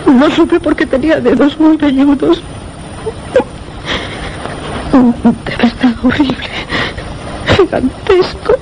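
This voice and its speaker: An actress with a Castilian accent voicing a frightened young weather observer, halting and repeating words, in radio suspense theatre. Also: female voice, high voice, darkish tone. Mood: shaken, horrified. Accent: Castilian Spanish. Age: young adult. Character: frightened young female weather observer, shaken but insistent. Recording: noisy old radio drama recording.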